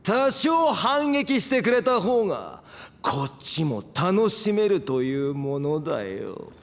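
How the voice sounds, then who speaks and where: A man's voice: sits high, very animated, slow pace, exaggerated voice. A man playing an arrogant, sadistic supervillain in a Japanese audio drama, speaking in a haughty, theatrical way. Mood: scornful, amused. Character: arrogant, sadistic male supervillain toying with victims. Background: prominent music.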